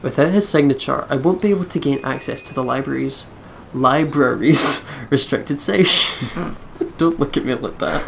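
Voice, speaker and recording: A man's voice: mid-range voice, moderate pace, gravelly, fairly bright tone, a little hiss or hum. A man hosting a let's play, reading visual novel narration aloud expressively with casual commentary.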